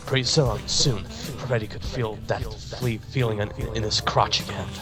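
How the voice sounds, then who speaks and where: A man's voice: fairly low pitch, steady tone, moderate pace. A man giving a dramatic reading of fan fiction, sometimes stumbling and repeating words. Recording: music behind the voice. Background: music.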